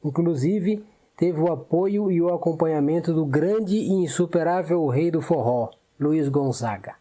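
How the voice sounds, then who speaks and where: A man's voice: on the high side, a little slow, dark tone. A man presenting a radio culture segment in a formal, informative scripted read.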